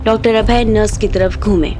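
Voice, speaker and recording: A woman's voice: medium pitch, steady tone, moderate pace, darkish tone. A woman reading scripted narration as the storyteller of an audio drama. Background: background music.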